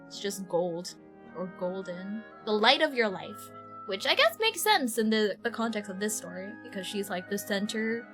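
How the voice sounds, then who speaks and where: A woman with a fairly high voice streams a game, chatting in loose, slangy rambles. Her delivery is animated and giggly, with faint game music playing behind her. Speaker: livestreamer. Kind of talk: casual livestream chat while playing a game. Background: video game music.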